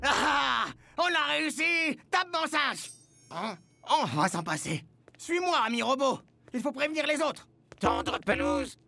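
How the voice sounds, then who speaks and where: An excitable young footballer from a children's cartoon. The voice sits very high with a gravelly edge and comes out in yelps and exclamations. Loud music plays under the dialogue. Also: male voice, measured pace, heavily acted. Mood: frantic. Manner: high-pitched, yelping and exclaiming. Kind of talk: French cartoon dubbing.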